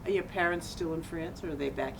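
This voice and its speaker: A woman's voice: low-pitched, a little fast, creaky. A woman interviewer asking chatty follow-up questions in a casual conversation. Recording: distant from mic, noisy ambience.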